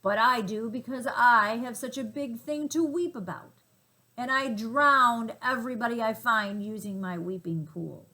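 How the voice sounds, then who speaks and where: A woman's voice: on the high side, expressive, a little slow, a bit bright, voice pushed up, poor audio. A woman doing a gloomy old rhinoceros. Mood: angry. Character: old male rhinoceros, gloomy, vain and angry.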